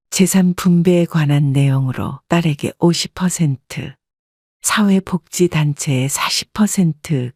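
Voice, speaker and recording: Calm woman narrating a family story. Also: deep voice, dry recording, clean studio sound. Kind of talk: scripted first-person story narration.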